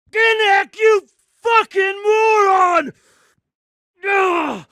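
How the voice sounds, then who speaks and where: An actor playing a gruff, battle-hardened rebel commander in a video game, barking orders, swearing and mocking his foes. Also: very high-pitched, pushed and bright, dry recording, studio quality, full character voice.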